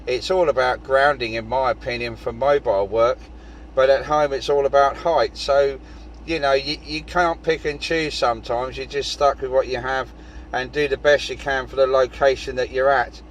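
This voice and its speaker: A British man with an average-pitched voice is having a casual amateur radio chat. He rambles along conversationally. Noise from the vehicle and outdoors sits around his voice. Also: steady tone, moderate pace, poor audio. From United Kingdom.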